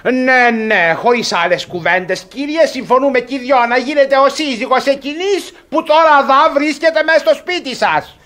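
An actor playing a bossy, impatient, gloating middle-aged brother in a radio comedy, hectoring and exclamatory. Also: male voice, very high-pitched, hardly any pauses, bright, forceful tone. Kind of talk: theatrical radio comedy acting.

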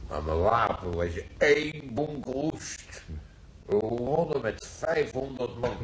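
An actor playing a plain-spoken old veteran grandpa, reminiscing in short phrases in a radio play. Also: male voice, medium pitch, expressive, takes it slowly. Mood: weary, reminiscing. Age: older. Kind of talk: Dutch radio play acting. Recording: roomy, distant, faintly noisy old radio recording.

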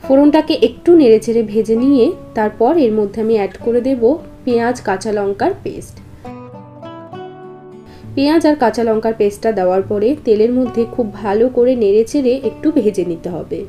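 A woman presents a recipe voice-over. Her voice sits a little high yet sounds mellow and relaxed. She explains each step in an instructional way, with faint guitar music playing behind her. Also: brisk. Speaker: cooking show host. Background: guitar background music.

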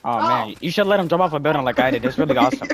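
A man's voice: on the high side, animated, rapid-fire, a loud crowd. A man chatting casually on game voice chat, telling rambling, tongue-in-cheek stories. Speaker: gamer. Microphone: gaming voice chat.

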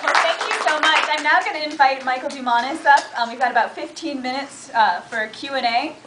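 A woman with a high voice makes informal announcements as an event host. The room echoes around her, and there is clear background noise. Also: brisk. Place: library room, away from the camera. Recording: echoey, noisy room recording.

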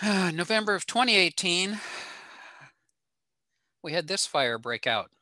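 An older American man giving an informal slide lecture in an explanatory, halting way with frequent pauses. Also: high-pitched, big pitch swings, sharp tone, no echo, studio quality. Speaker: presenter, ham radio operator. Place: small home room indoors.

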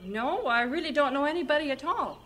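A woman's voice: high voice, animated, fairly quick, fairly bright tone, a little hiss or hum. An actress playing an earnest, restless young schoolteacher in her twenties in a radio drama. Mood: polite. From Canada.

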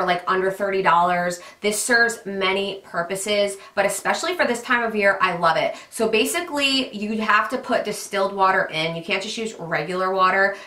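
A woman's voice: mid-range voice, sharp tone, echoey room. A woman in her thirties chatting to camera about gift picks, gushing and filling with "like" and "um", a little nasal from being stuffed up. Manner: chatty, gushing, many fillers. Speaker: beauty vlogger. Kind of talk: casual product recommendations to camera. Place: pink bedroom studio with vanity mirror and lit tree.

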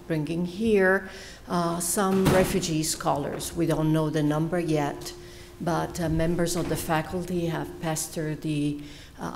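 A woman's voice: sits low, vocal fry, darkish tone, slight background noise. Hesitant woman at a lecture podium. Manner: hesitant, many fillers and restarts, emphatic on key words. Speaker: panel speaker, faculty member. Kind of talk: academic panel talk with slides. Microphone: podium microphone.

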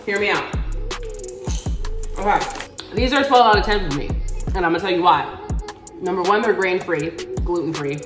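A woman's voice: on the low side, moderate pace, pauses often, echoey room, over music. A woman in her twenties chatting animatedly to the camera in a casual day-in-the-life vlog, filmed in her home kitchen on a camera a few feet away. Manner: chatty and animated, talks to camera with hand gestures. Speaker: vlogger. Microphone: camera on a stand, a few feet away.

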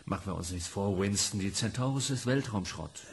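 A man's voice: lowish voice, a little fast, gravelly. An actor playing a businesslike male section head reporting to a commission in a radio play. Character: matter-of-fact male section head Dr. Hansen.

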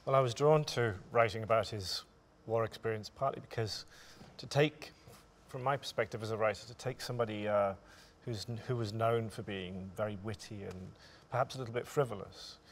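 Creaky-voiced man on a discussion panel. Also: on the low side, moderate pace, hardly any pauses. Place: theatre stage, indoors.

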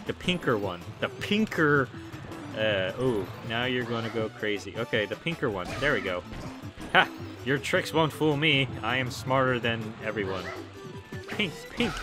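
A hoarse young man giving goofy, excitable commentary over his gameplay, full of ums and likes. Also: mid-range voice. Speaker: let's play gamer. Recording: game music behind voice.

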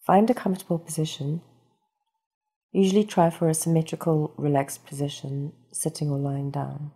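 A woman leading a guided meditation, speaking in a calm, soothing and unhurried instructional manner. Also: deep voice, husky.

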